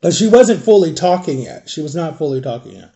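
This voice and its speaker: Chatty man in his thirties, higher voice. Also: expressive, a little fast, warm tone. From Florida. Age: 30s. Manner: rambling, self-correcting, chatty. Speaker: vlogger. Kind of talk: casual storytime chat to viewers.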